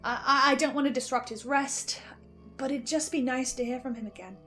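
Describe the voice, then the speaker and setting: A woman hosting a story recap, giving scripted commentary with expressive, sardonic theatrical asides. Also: high voice, brisk. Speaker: host of a story-recap show. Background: faint music.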